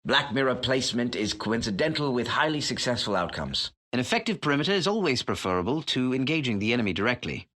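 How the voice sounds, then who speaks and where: Posh-worded formal game commentator, measured and theatrical, lots of up and down in the pitch. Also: male voice, a bit bright, no echo, studio quality. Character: erudite, formal male match commentator. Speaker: game announcer. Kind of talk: scripted esports-style commentary.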